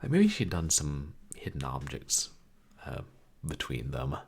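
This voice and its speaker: A man chats his way through a game on a live stream. His voice is very deep and soft-spoken, calm, and unhurried. He rambles in a casual way with lots of fillers. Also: gravelly, slight background noise.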